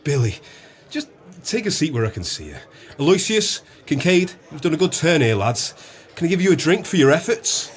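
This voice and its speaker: An actor playing a stern but fair pub landlord in an audio drama, questioning with authority. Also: male voice, fairly high pitch, big pitch swings, moderate pace. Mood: firm, grateful. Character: incredulous, stern but fair male publican.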